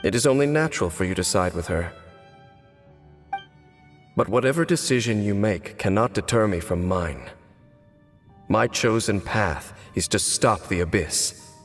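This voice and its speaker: A man's voice: fairly low pitch, lively intonation, measured pace, pauses often. A man playing a cynical, aloof, centuries-old swordsman, speaking formally and sardonically in a dramatic video game. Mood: cool resolve. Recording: long reverb, music behind.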